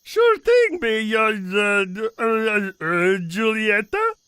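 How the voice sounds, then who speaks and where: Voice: mid-range voice, very animated, slow, sharp tone, smooth, no echo, studio quality, full character voice. A woman voicing a timid, loyal cartoon sidekick who talks in folksy slang with a bit of Spanish. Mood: grateful, excited. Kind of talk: animated cartoon voice acting.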